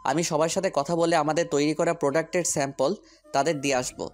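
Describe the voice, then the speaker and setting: A man's voice: on the high side, steady tone, quick pace, faint music behind, natural voice. A man playing a determined businessman hero in an audio story, speaking firmly and with purpose. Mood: commanding. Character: determined businessman hero, commanding boss, loyal friend.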